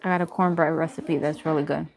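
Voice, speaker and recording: A woman's voice: deep voice, fairly even intonation, quick pace, vocal fry, a little mellow. A woman chatting informally with her live-stream viewers, answering comments as she goes. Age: adult, parent. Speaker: food vlogger and live streamer.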